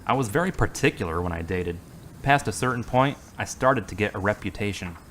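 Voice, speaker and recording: An earnest, devout middle-aged man , as an actor plays him in a radio drama, speaks in a measured, fairly low voice. He talks steadily and sincerely. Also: animated, a bit bright, a little hiss or hum.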